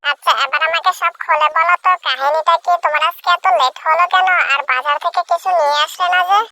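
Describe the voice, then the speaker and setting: A woman's voice: high-pitched, fast talker, pushed and bright, no echo. A woman voicing a curious village wife in a cartoon, pressing with questions. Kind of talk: cartoon voice acting.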